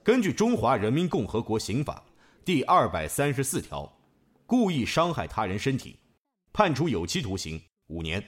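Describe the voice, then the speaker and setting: A man's voice: medium pitch, wildly swooping pitch, moderate pace, husky. A man playing a solemn judge in a radio drama, speaking formally and declaiming. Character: stern, formal male judge delivering a verdict.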